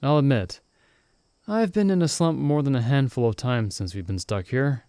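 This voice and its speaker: Casting: a man as upbeat young fantasy mage, loyal best-friend type, soft mellow tone, close dry sound, casual ASMR roleplay. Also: mid-range voice, normal speed, clear, resonant tone, no room sound, natural voice. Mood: candid, wistful. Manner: casual, chuckling, reassuring. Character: cheerful, caring young male friend, novice mage in fantasy world. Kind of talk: ASMR roleplay, intimate one-sided conversation.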